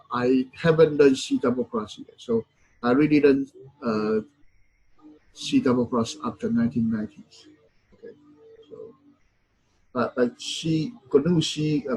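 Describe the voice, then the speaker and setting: Middle-aged male professor, husky, medium pitch, hesitant with lots of "uh". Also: darkish tone, low-quality recording. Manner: halting, many fillers and self-corrections. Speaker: university lecturer. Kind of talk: classroom lecture.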